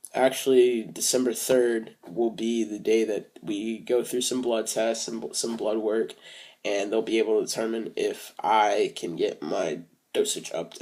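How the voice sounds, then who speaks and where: A young man recording a casual video diary, speaking hesitantly with many fillers and restarts, soft and reflective. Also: fairly low pitch. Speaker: vlogger.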